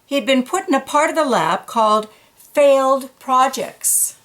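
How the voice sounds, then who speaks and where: A woman reading a picture book aloud to children in a gentle, engaged way. She pauses to point out little details in the illustrations before going back to the story. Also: high voice.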